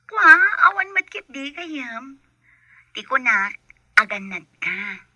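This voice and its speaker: A woman's voice: sits high, sing-song, takes it slowly, sharp tone, no echo, exaggerated voice. A woman acting a cautious, worried, loyal employee in an Ilocano radio drama, with an Ilocano Filipino accent. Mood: concerned, cautious.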